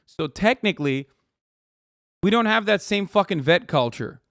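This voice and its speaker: A man's voice: high voice, animated, moderate pace, fairly bright tone, dry recording, studio quality. A man in his thirties from Turkey, ranting casually and profanely while reacting to clips as a streamer. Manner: profane, emphatic, rambling with restarts.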